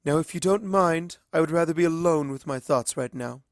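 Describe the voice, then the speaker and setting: Casting: formal, sorrowful immortal pony princess for storybook audio, played by a man, pitched up, measured delivery. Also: no room sound, studio quality, natural voice. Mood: stern, guarded.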